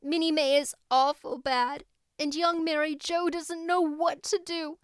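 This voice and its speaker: Nervous little girl for a storybook, very high and imploring, clean dry recording performed by an adult woman. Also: fairly even intonation, unhurried, dry recording. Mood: frightened pleading. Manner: anxious, imploring, breathless delivery. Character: frightened, pleading young girl, a nervous best friend.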